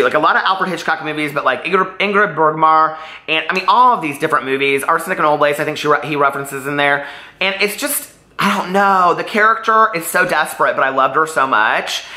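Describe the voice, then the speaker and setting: A man's voice: fairly high pitch, bright, forceful tone. A man talking casually to viewers as a reviewer, in a gushing, rambling and emphatic way. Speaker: book reviewer vlogger. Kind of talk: casual chatty book review to camera. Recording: roomy, echoey room sound.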